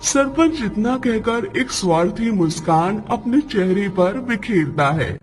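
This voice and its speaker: High-pitched male story narrator. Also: animated, measured pace, poor audio. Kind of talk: scripted cartoon story narration.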